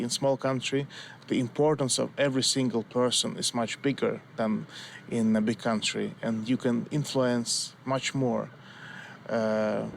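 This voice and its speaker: A man born in Russia, a former sports journalist, speaks thoughtful non-native English with many pauses in an interview. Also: mid-range voice, creaky, slight background noise.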